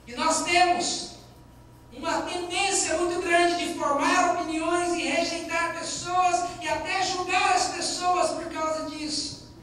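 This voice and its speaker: A man's voice: extremely high pitch, flat delivery, a little slow, bright, forceful tone, a little hiss or hum. A man with a Minas Gerais Brazilian accent, preaching a sermon as pastor in a conversational way and stressing key lines. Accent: Minas Gerais Brazilian Portuguese. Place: church sanctuary. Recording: very echoey church hall, distant mic.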